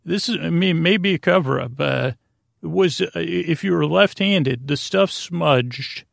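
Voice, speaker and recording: Podcast host, American man in middle age, extremely creaky and a bit high-voiced, meandering and self-interrupting bedtime delivery, dry sound. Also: measured pace, no room sound. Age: middle-aged. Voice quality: very creaky, gravelly. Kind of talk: rambling bedtime monologue to help listeners sleep.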